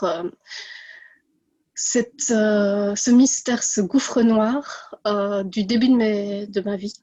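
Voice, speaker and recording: A woman in her forties from India, telling her own story as a podcast guest, pausing often with fillers and restarts. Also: medium pitch, a little mellow, no echo, muffled recording. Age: 40s. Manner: hesitant, many fillers and restarts. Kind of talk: personal testimony.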